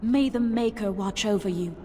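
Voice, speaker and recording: A woman playing a devout, sorrowful chantry sister in a fantasy video game, speaking gently and earnestly. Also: mid-range voice, moderate pace, long reverb tail, slight background noise, close to an everyday voice. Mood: reverent, explaining.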